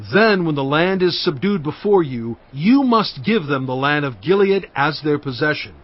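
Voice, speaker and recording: An actor playing Moses, a stern aging prophet who speaks in a measured, rebuking way, on a dull lo-fi audio drama recording. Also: male voice, a little high. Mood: solemn.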